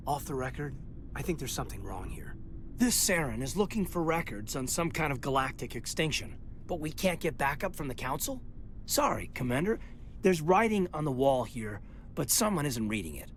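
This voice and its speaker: Earnest, reserved military officer. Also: male voice, fairly high pitch, big pitch swings, normal speed, a little hiss or hum. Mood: concerned, candid. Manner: hesitant, apologetic, thoughtful. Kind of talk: video game dialogue acting.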